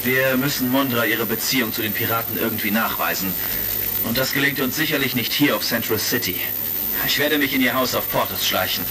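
A man playing a calm, analytical space hero in a retro sci-fi audio drama, speaking in a measured way. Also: medium pitch, music in the background, lightly acted. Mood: determined. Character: heroic space commander, calm, analytical investigator. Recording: crackly vintage vinyl-style recording, distant.

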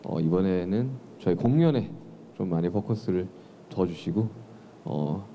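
Young man, mellow and hesitant. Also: low voice, expressive, unhurried, slight background noise. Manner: hesitant, thoughtful, many fillers. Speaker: singer at press conference. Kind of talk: press conference remarks. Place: large press room. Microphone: handheld mic.

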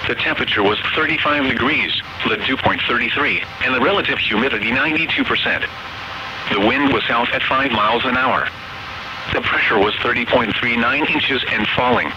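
Synthetic American male announcer on noisy radio. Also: medium pitch. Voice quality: synthetic text-to-speech voice. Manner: formal, measured, impersonal recitation. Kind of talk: automated scripted weather bulletin reading. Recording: dull, noisy weather radio broadcast.

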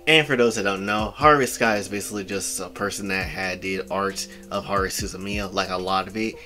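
A young man in his twenties hosts a laid-back commentary on anime fan art. His voice sits low but comes across bright and forceful, with faint music playing underneath. He rambles and leans on the same filler phrases, often swinging from loud outbursts to mumbled asides. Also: steady tone, a little fast. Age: 20s. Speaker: channel host.

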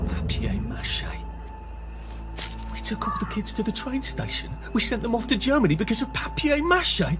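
A man's voice: extremely high pitch, expressive, normal speed. An anxious British everyman from a video game, sarcastic and wordy. His hesitant, incredulous delivery rises and falls widely over a music bed. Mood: stunned realisation. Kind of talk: video game cutscene acting.

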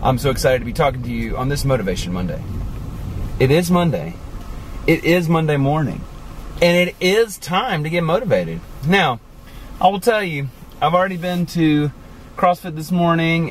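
A man in his forties talking casually to the camera from inside a car, animated and chatty, with many asides. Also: fairly high pitch, slight background noise. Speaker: vlogger. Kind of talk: casual motivational talk to camera. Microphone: camera on the dashboard.

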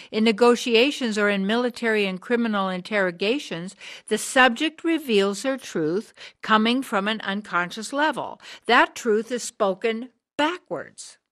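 A woman's voice: fairly high pitch, expressive, unhurried, a bit bright. A woman playing a brilliant, hard, driven sixty-year-old activist in a radio drama, speaking in earnest declarations. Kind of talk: scripted radio drama acting.

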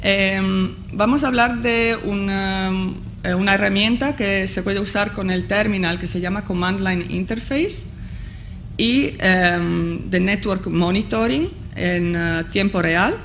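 A woman's voice: medium pitch, slow pace, a bit bright, a little hiss or hum. A woman in her thirties, a native Italian speaker, presenting a tutorial with many hesitations and fillers at a conference panel table, using a table microphone. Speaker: course instructor.

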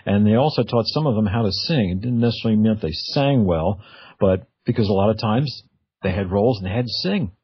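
Husky, low-voiced male radio host. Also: fast talker, dry recording. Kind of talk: casual interview chat. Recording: dull, lo-fi, dry radio audio.

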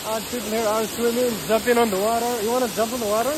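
A man's voice: very high-pitched, flat delivery, racing. Chatty male travel vlogger outdoors. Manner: chatty, addresses viewers as guys. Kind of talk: casual travel vlog. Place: outdoors by a waterfall and rice fields. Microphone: handheld camera at arm's length. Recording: noisy outdoor recording.

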